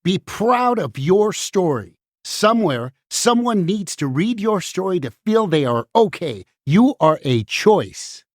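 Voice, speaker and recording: A woman's voice: on the low side, sing-song, slow, dry recording, clean studio sound, full character voice. A woman playing a weary, caring book puppet in a musical puppet show, earnest and confiding. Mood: encouraging.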